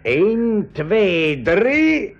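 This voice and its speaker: Commanding professor uncle, radio play, lively swooping pitch, gravelly edge, concerned leader. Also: male voice, drawn-out words, exaggerated voice. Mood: alarmed. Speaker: actor in a school radio drama. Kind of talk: Dutch-language 1980s radio play acting. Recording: dull, noisy old radio recording.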